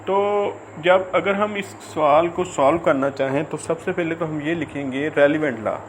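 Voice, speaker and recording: A man with a Pakistani accent explaining law in an informal lecture, haltingly and repetitively, mixing in English legal terms. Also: fairly high pitch, a little hiss or hum.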